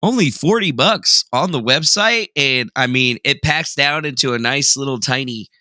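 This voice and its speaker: A man's voice: medium pitch, normal speed, sharp tone, dry recording, clean studio sound. A young man casually hosting a livestream, joking sarcastically and reading viewer messages aloud. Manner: jokey, sarcastic, self-mocking; reads chat aloud and reacts. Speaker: livestream host.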